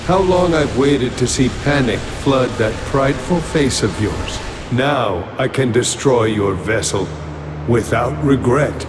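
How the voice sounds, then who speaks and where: A man's voice: mid-range voice, expressive, measured pace, noisy background, lightly acted. A man voicing a haughty ice elf monarch. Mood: gloating. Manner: cold, haughty, taunting. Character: arrogant ancient ice elf monarch, male, menacing. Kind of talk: game cutscene voice acting. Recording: reverberant with score music.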